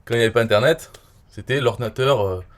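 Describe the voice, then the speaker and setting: A man from France in his forties talking casually and at length, rambling with many fillers and often trailing off quietly. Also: medium pitch, quick pace. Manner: rambling, frequent "euh" and "voilà", trailing off quietly. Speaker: online marketer reviewing his own interview. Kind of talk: casual explanatory monologue.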